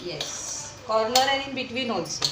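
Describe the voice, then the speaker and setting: A woman's voice: on the high side, expressive, normal speed, over a noisy crowd. Middle-aged mother, patient, distant, echoey and noisy kitchen. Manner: plain, patient, instructive. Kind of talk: home cooking explanation. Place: home kitchen.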